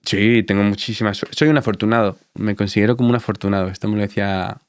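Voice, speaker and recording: Deep-voiced Andalusian man in his thirties, guest on an interview; reflective, halting delivery, dry close mic. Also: steady tone, clean tone, no room sound. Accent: Andalusian Spanish. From Spain. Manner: reflective, halting with many fillers. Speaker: dentist guest. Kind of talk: relaxed interview answers.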